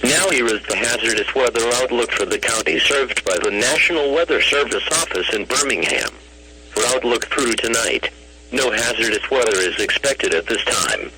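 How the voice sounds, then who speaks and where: A synthetic man's voice reading a scripted weather bulletin over the radio, formal and flat, in clipped phrases. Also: medium pitch, moderate pace, a loud crowd. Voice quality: synthetic text-to-speech voice. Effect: radio broadcast. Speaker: automated weather radio announcer. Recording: noisy, humming weather radio broadcast recording.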